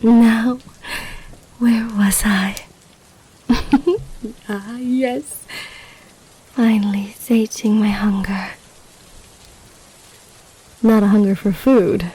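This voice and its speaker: An imperious lamia empress, acted by a woman, for a romantic fantasy audio roleplay. She speaks slowly and formally, with a breathy, mellow voice that often sinks toward a whisper. Her manner is commanding and seductive. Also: mid-range voice, rough recording. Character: imperious, amorous lamia empress, half-serpent ruler.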